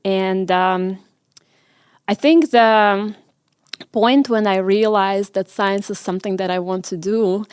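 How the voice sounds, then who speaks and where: A woman in her forties from Russia with a Russian accent, telling her story as an interview guest with many pauses and ums. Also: medium pitch, fairly even intonation, a little mellow, smooth, no echo. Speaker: guest, medical researcher. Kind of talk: conversational interview.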